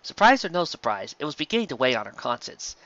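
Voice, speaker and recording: A woman's voice: rumbling low, sing-song, fast, fairly bright tone, no echo. A woman narrating a story as a dramatic reading, on a dull, slightly muffled recording.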